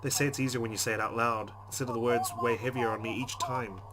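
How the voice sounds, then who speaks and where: A man's voice: medium pitch, steady tone, fast talker. A man hosting a let's play, reading visual novel narration aloud in a dramatic way. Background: game music.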